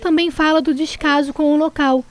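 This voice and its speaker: A woman reporting on the news, narrating the story in a clear, measured voice-over. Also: sits high, steady tone.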